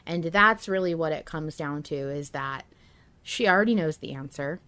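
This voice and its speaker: Low-pitched woman in casual podcast chat. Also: normal speed, sharp tone, smooth. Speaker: podcast co-host. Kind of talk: casual fan podcast discussion.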